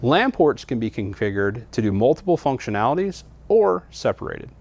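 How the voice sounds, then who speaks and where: A man's voice: medium pitch, big pitch swings, normal speed. A man giving a scripted, methodical product walkthrough in an instructional way, as a trainer would.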